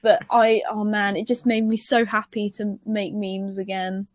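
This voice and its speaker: A woman's voice: medium pitch, little pitch movement, unhurried, no echo. A woman chatting casually as a panelist on a group podcast.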